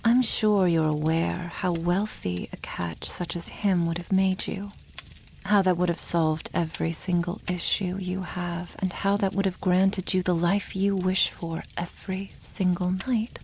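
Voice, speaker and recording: A female dragon played by a woman speaks in an intimate ASMR roleplay, protective and secretly smitten. Her voice is hushed and slightly low, with plenty of fry, and she shapes formal sentences very slowly. Faint noise sits behind her. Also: steady tone, low-quality recording. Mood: gentle, earnest. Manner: slow, hushed, often breathy half-whisper, formal wording.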